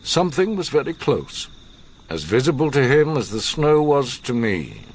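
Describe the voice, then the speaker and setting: An actor playing an older, learned gentleman who recounts his past gravely, with measured, theatrical pauses, in a radio drama. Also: mid-range voice, wildly swooping pitch, heavily acted. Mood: intense, awed.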